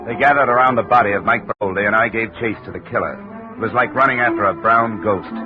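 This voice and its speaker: A man's voice: fairly low pitch, fast talker, loud music behind the voice, close to an everyday voice. A man playing a wry, hard-boiled investigator. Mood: narrating. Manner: wry, clipped, tough. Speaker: narrator and lead. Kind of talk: 1950s radio drama narration and acting. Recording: dull 1950 radio broadcast audio.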